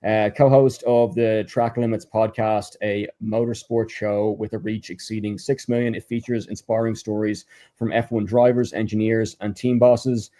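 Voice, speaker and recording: A man's voice: lowish voice, little pitch movement, normal speed, warm tone. A man hosting a podcast interview, hesitant with many fillers and restarts, reading out a guest introduction. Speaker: podcast host.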